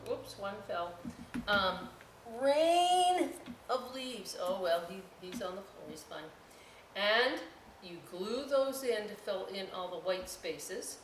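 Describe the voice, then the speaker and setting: A woman speaks to young children as a storytime host, in a folksy, expressive and chatty way. Her pace is leisurely and her pitch sits in a middle range. She is picked up from some distance, with clear room echo around her voice. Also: takes it slowly. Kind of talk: children's read-aloud and craft demonstration with casual asides. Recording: roomy echo, distant mic, faint noise.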